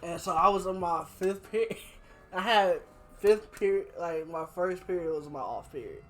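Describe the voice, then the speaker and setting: A young man chatting casually as a podcast host, telling anecdotes in a slangy, animated way. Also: high-pitched, normal speed, soft background music. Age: young adult. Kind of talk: casual chat, storytelling.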